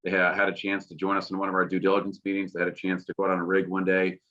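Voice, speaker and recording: A man from Texas presenting his oil company on a webinar, steady and full of fillers, over a video call. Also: low-pitched, racing. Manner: steady, filler-heavy explaining. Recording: dull lo-fi video call audio.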